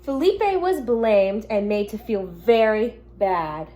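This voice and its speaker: A woman teacher speaking gently and simply to young children, reading a picture book aloud at home with casual asides. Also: mid-range voice, big pitch swings, takes it slowly. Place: at home in an apartment. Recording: noticeable background noise, home recording.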